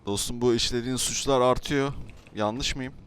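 A man's voice: mid-range voice, fairly even intonation, normal speed, a bit bright. A man improvising pushy, informal role-play in an online game, firing questions at others. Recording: noisy game voice chat.

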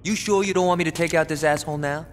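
A man's voice: on the high side, steady tone, very fast, slight background noise, lightly acted. A man playing a tough, cocky, vengeful gangster in a video game, blunt and menacing in his delivery. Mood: impatient. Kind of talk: video game cutscene acting.

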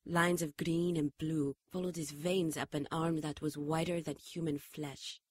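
An actress playing a solemn, stern, mystical woman in a radio drama, speaking in a formal, measured way. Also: deep voice, level tone, a little mellow, slightly rough, no room sound, clean studio sound. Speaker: radio drama actor and narrator. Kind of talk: radio drama narration and dialogue.

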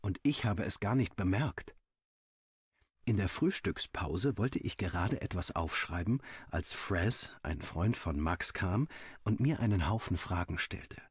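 A man reading a first-person story as a narrator, acting out the dialogue in an animated way. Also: deep voice, measured pace, slightly rough, no room sound, studio quality. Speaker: audiobook reader.

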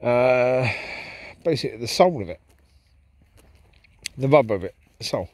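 A man's voice: medium pitch, lively intonation, moderate pace, darkish tone, no room sound. A man talking casually to camera as he walks his dogs through a park at night, reflective and rambling. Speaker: vlogger walking his dogs. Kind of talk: casual walk-and-talk monologue. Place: park path at night, outdoors. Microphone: handheld camera while walking.

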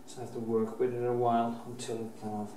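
Norwegian-accented painter, middle-aged; rambling restarts, casual swearing; distant camera mic, faint room hiss. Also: male voice, mid-range voice, monotone, fast, mellow, roomy sound. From Norway. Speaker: painting teacher. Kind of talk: painting demonstration. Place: painting studio, indoors.

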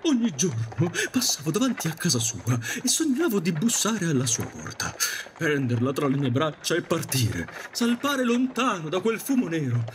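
A man plays a wistful, romantic Victorian gentleman ghost in an audio drama. He is a wordy, theatrical storyteller with a highish voice that swoops dramatically, over some background noise. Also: sing-song, moderate pace. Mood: dreamy, romantic.

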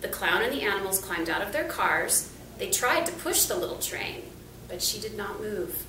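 A woman reads a children's picture book aloud with lively, rising and falling intonation. She is recorded from a little distance in an echoey small room, with faint background noise. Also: medium pitch, normal speed. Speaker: volunteer storybook reader. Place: small indoor room, seated. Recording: roomy, distant camera mic, faint noise.

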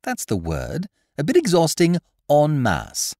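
A man voicing a breezy, chummy young gentleman of leisure who frets easily. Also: on the high side, wildly swooping pitch, measured pace, no echo, studio quality, pitched above the performer's own voice. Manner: breezy, chummy upper-class banter.

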